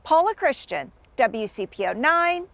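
A woman in her forties narrating a news report as an investigative reporter, in a crisp, measured delivery. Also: high voice, big pitch swings, a bit bright, dry recording, muffled recording. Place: outdoors beside a parking garage.

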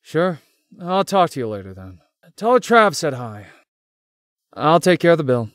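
A man's voice: on the high side, sing-song, normal speed, no echo, studio quality. A man playing a hardworking, teasing young best friend in a visual novel. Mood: casual, wry. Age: young adult.

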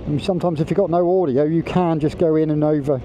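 Motovlogger, male, slightly higher voice, loose rambling delivery, wind and engine noise through a helmet mic. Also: fairly even intonation, fast talker, dark tone, muffled recording. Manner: rambling, self-interrupting, chatty. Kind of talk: casual riding commentary. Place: on a motorbike on country roads. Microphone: wireless mic inside helmet. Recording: windy helmet mic on a moving motorbike.

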